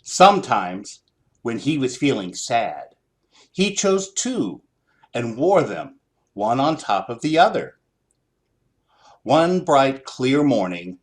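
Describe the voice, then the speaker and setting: A man narrates a children's picture book with theatrical expression. His pitch swoops widely, and he takes his time with deliberate, slow phrasing. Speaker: storybook reader.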